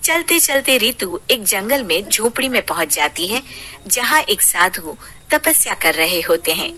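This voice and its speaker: A woman narrating a scripted cartoon moral story in an expressive, dramatic storytelling manner. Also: medium pitch, a little slow.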